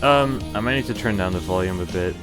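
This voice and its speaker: A man's voice: low-pitched, fairly quick. A man giving casual live commentary as a game streamer, muttering wry, mildly exasperated asides. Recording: music playing behind the voice. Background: video game music.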